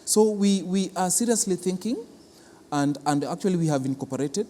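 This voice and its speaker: A man's voice: high-pitched, animated, mellow. Kenyan man in a noisy, reverberant hall. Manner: halting, repeats words for emphasis. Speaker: cultural institution official being interviewed. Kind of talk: explanatory interview answers. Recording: reverberant hall with clear background noise.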